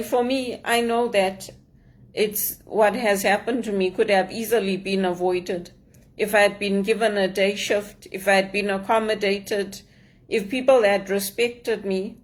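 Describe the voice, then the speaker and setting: A woman from Zimbabwe in an earnest personal monologue, aggrieved, repeating phrases for emphasis. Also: mid-range voice. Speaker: immigrant sharing her story.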